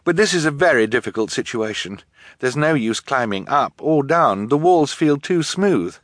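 A man's voice: medium pitch, animated, normal speed, no room sound, studio quality, pitched above the performer's own voice, natural voice. A man voicing an earnest, protective teenage orphan girl with a pleading, emphatic delivery in a storybook. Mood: urgent, thinking.

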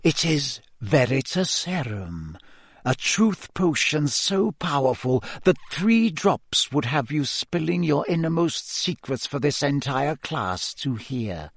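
A man voicing a menacing, vindictive male potions teacher in an audiobook, with hissing, drawn-out, cutting threats. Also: high voice, huge pitch swings, creaky, sharp tone, breathy edge, no room sound, clean studio sound, pitched above the performer's own voice, exaggerated voice. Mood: vicious threatening.

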